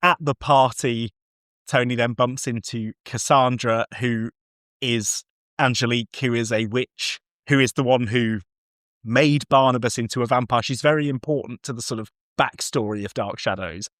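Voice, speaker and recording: A man's voice: medium pitch, normal speed, a bit bright, no echo, studio quality. A man co-hosting a podcast, discussing audio drama in a casual, discursive and enthusiastic way.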